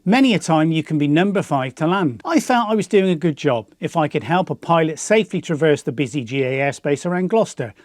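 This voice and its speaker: A man's voice: on the high side, no echo, studio quality. A man with a British accent presenting a scripted explanatory piece as the host of a hobby channel. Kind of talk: scripted explanatory narration to viewers.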